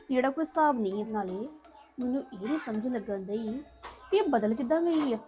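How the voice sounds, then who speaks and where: A woman's voice: sits high, close to an everyday voice. An actress playing a defiant young woman who argues back at her mother and aunt in a family drama. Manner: defiant, argumentative.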